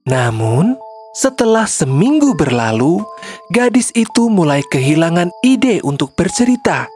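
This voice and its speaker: A woman's voice: lowish voice, very animated, a little slow, darkish tone. A woman narrating a scripted children's story as a storyteller. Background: faint music.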